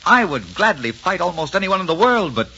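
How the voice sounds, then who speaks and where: An actor playing a proud, gallant, mocking French prince in theatrical, witty 1940s radio drama style. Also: male voice, high voice, sing-song, fairly quick, sharp tone, a little hiss or hum, heavily acted. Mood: mocking, defiant. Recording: muffled 1944 radio broadcast with music.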